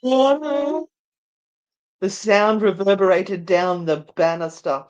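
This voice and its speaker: Female narrator for paranormal romance, medium pitch, unhurried, theatrical delivery. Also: a little mellow, lo-fi sound. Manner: deliberate, theatrical. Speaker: narrator and co-host. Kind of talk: dramatic story narration, live read.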